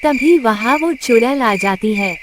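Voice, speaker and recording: A woman's voice: a little high, animated, measured pace, heavy background noise. A woman reading scripted narration for a children's cartoon story. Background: music.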